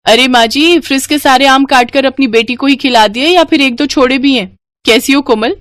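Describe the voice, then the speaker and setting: A woman voicing a sharp-tongued, sarcastic sister-in-law in a cartoon. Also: sits high, normal speed, no room sound, clean studio sound, pitched above the performer's own voice. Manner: taunting, bossy.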